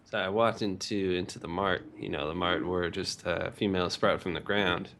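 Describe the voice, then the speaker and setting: A man casually telling crude, vulgar stories in a halting, repetitive, filler-heavy way. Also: deep voice, fairly even intonation, fairly bright tone, slight background noise. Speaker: co-host of a visual novel rant show. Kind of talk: casual rambling crude storytelling.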